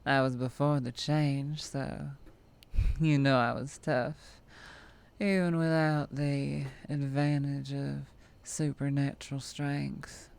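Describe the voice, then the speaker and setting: A woman performs a tough, playful vampire truck driver with a Southern US accent in an ASMR roleplay. Her voice sits very low for a woman and moves at a leisurely drawl. She teases like a predator toying with prey, lingering between phrases. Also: fairly even intonation, creaky, a little mellow, clean tone, full character voice. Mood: playful, boastful. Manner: slow, teasing drawl with frequent chuckles and lingering pauses.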